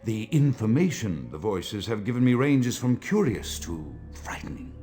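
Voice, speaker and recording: A man's voice: lowish voice, sing-song, normal speed, warm tone, faint music behind. An actor playing an erudite, afflicted male vampire scholar, dictating a game audio journal in florid, formal, pompous language. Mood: wary, secretive.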